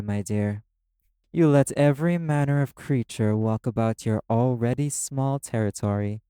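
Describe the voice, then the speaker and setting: A man's voice: mid-range voice, warm tone, smooth, no room sound, clean studio sound, close to an everyday voice. A man playing a proud, condescending arch-demon casino owner in an audio roleplay, drawling and teasing with pet names. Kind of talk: dramatic audio roleplay acting. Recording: music under the voice. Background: music.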